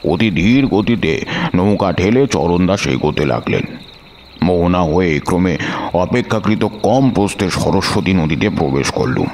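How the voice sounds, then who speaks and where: Actor as an aging tantric spinning tales: deep, rough, unhurried, old-fashioned speech, faint crickets behind. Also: male voice, a little mellow, slight background noise. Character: aging male tantric storyteller, weary and reflective. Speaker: storyteller recounting his past. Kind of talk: audio drama narration and dialogue.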